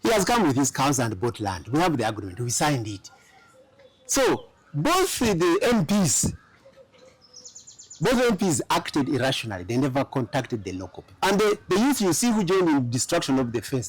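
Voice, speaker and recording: A man's voice: a little high, very animated, normal speed, slightly rough, rough recording. A man who is a lawyer and studio guest on a political talk show, making his case emphatically, point by point.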